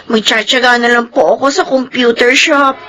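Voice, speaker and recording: A woman as a whiny, high-voiced teenage girl. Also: fairly even intonation, normal speed, natural voice. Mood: meek, downcast. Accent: Filipino. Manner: whiny, sulky. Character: resentful, envious teenage schoolgirl daughter, self-pitying and whiny. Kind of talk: Filipino radio drama acting.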